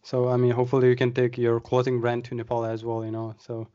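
A man's voice: medium pitch, monotone, quick pace, dark tone, a little hiss or hum. A man hosting a casual podcast interview, leading the questions with lots of "uh" and "um". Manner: friendly, steering questions with fillers. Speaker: podcast host. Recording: dry, dull lo-fi sound.

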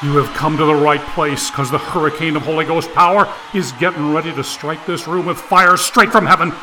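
A man playing a fervent revival preacher in an audiobook, declaiming in a booming voice to a huge congregation. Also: steady tone, brisk, background noise, lightly acted. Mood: fiery exhortation. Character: booming, fiery male revival preacher working a huge crowd. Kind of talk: evangelical sermon acting in an audiobook.

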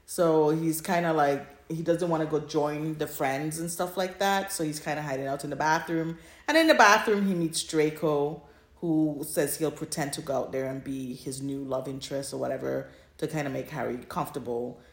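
Female podcast co-host, notably low register, casual storytelling chat, roomy echoing sound. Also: normal speed. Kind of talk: casual chat summarizing fan fiction. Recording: echoey room.

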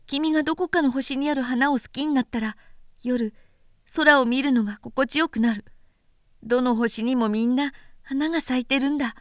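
Storybook boy prince, played by a woman: small, gentle, wistful; high, soft childlike delivery, very dry sound. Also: moderate pace, dry recording, lightly acted. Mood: tender, earnest. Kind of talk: storybook character voice in a literary recitation.

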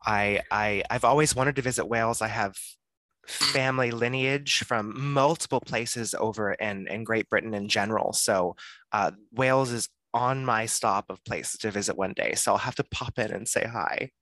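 A man's voice: fairly low pitch, normal speed, pushed and bright, no room sound, rough recording. A man hosting a casual chat, friendly and rambling, tripping over his words now and then. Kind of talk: casual conversation.

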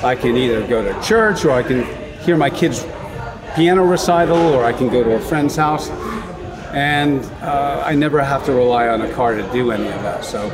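A man's voice: mid-range voice, normal speed. A man chats as a podcast guest, with dry humor and trailing uhs. His voice sits mid-range. Crowd chatter is plain behind him. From United States. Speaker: guest, developer and architect. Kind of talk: casual interview chat. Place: local brewery.

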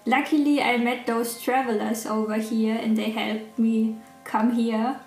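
A woman's voice: on the high side, little pitch movement, measured pace, darkish tone, faint music behind. A woman voicing a helpless, innocent young woman who is pleading to be let in. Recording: echoey room.

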